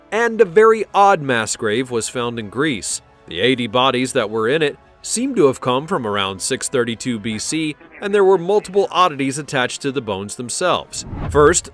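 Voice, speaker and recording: A man's voice: mid-range voice, fairly bright tone. A man reading a scripted countdown narration in a dramatic storytelling way, with wry conversational asides.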